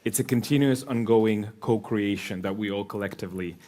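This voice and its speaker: A man's voice: lowish voice, fairly quick. A man moderating a panel seminar, giving short affirmations and wrapping up the day's takeaways. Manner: soft-spoken, frequent backchannel.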